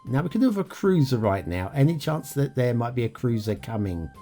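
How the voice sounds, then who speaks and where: A chatty man narrates a review of a city-building map, his voice swinging lively up and down as he chuckles and trails off into ums. Faint music plays underneath him. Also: mid-range voice, a little fast. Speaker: game map reviewer, show host. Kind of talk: casual gameplay commentary.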